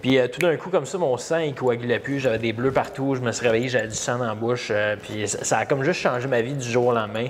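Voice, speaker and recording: A Québécois man in his thirties talks as a podcast guest, hesitant and full of fillers and restarts. His mid-pitched voice carries a long reverb over a faint background hiss. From Montreal. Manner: halting, many fillers and repeats. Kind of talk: casual interview storytelling.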